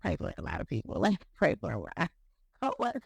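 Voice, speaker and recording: A speaker in their thirties talking faith in a loose, rambling way on a podcast. The voice has a heavy gravelly fry throughout. It is recorded close and completely dry. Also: mid-range voice, huge pitch swings, fairly quick, no echo. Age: 30s. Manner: rambling, heavy use of "like" and "you know", repeats words. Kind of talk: casual faith talk.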